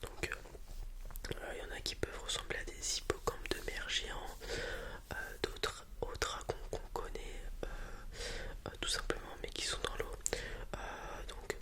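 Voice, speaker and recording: A man speaking in a soft breathy whisper, improvising an informal ASMR roleplay explanation with halting, hesitant delivery. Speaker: ASMR roleplayer playing a dragon breeder.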